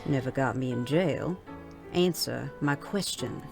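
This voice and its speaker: A woman's voice: a bass voice, a little slow, creaky, music in the background, full character voice. A woman playing a tough, blunt saloon keeper with an American accent and a drawl in a Western audio drama. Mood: blunt, needling. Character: tough, blunt, fiercely loyal female Wild West saloon keeper.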